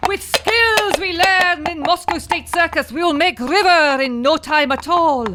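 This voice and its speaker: A woman's voice: very high voice, a little slow, sharp tone, a little hiss or hum, heavily acted. A woman playing a stern female Soviet spy disguised as a mother superior, with a put-on Russian accent and clipped broken English, in an amateur radio play. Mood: urgent.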